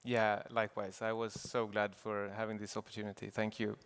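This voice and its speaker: A man speaking informally at a conference, haltingly searching for his words. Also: on the low side, monotone, fairly bright tone, clean tone. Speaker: audio drama director or producer, panel speaker. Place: conference hall stage. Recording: reverberant hall.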